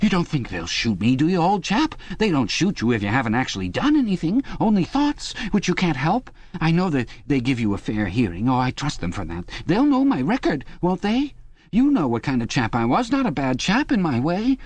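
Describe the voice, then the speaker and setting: A man voicing a panicky, servile middle-aged prisoner who talks in a high, pleading, blubbering way. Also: wildly swooping pitch, a little mellow, no echo. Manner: high, fast, wheedling and blubbering.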